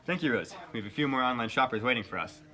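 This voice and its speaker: A man's voice: mid-range voice, animated, racing, over music, close to an everyday voice. A man playing a news co-anchor in a scripted mock newscast. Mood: flustered. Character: flustered male news co-anchor on live mock broadcast. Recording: faint background noise.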